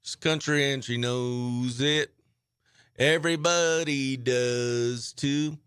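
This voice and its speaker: A man's voice: mid-range voice, fairly even intonation, takes it slowly, a bit bright, clean tone. A man hosting a country radio show, chatting casually and slangily as he runs the show and interviews. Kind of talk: behind-the-scenes radio show chat and interview.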